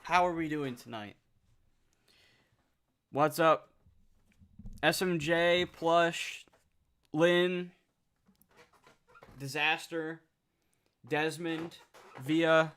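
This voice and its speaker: A nineteen-year-old man chatting casually on a livestream, reading out viewer comments, excitable and swearing freely. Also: on the high side, drawn-out words, long pauses, clear, resonant tone.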